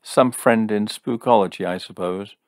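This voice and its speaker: A man's voice: low voice, normal speed, creaky, no room sound, studio quality. A man voicing a hot-tempered, sneering young man in an audiobook story, blustering and sarcastic. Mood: sarcastic, bitter.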